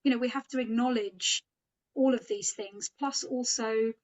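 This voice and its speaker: A woman's voice: on the high side, steady tone, normal speed. A woman from Sri Lanka, a headache specialist, talking thoughtfully in a podcast discussion with frequent fillers. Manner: thoughtful, many fillers like "you know".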